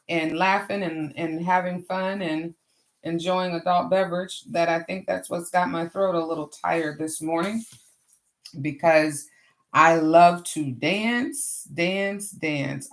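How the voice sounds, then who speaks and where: A middle-aged woman with a hoarse voice, hosting a casual crafting livestream and chatting to viewers as she works. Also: low voice. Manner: chatty, greets viewers warmly and often. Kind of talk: casual live craft chat.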